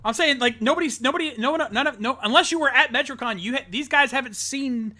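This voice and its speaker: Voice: high-pitched, fast talker, sharp tone. A young adult in a casual group chat, blunt and exasperated, laughing a lot.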